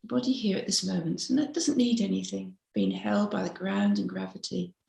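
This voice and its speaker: A woman mindfulness teacher leading a slow guided meditation in a gentle, unhurried, instructive way. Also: mid-range voice, fairly even intonation, vocal fry. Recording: roomy, echoey sound.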